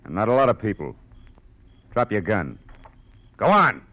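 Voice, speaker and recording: Low-voiced, hard-boiled radio private eye. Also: male voice, very animated, moderate pace, bright, forceful tone, slight background noise, low-quality recording. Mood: hard, threatening. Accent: American. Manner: hard-boiled, clipped, sardonic. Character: tough, wry male private detective. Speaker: lead actor and first-person narrator. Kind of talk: 1940s radio detective drama acting. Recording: old radio broadcast with music behind.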